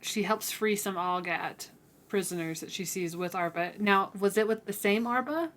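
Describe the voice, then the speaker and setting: A woman's voice: medium pitch, moderate pace, a bit bright. A woman co-hosting a book discussion in a casual, tentative way, as if thinking aloud. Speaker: co-host of a book read-along podcast.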